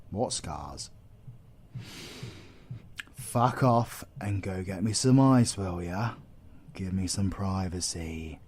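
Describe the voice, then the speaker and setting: A man's voice: on the low side, darkish tone. A British man playing a cocky, foul-mouthed supervillain in an audio roleplay, crude, teasing and sarcastic. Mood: guarded, dismissive. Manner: crude, teasing, sarcastic, heavy slangy swearing. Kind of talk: audio roleplay acting addressed to the listener.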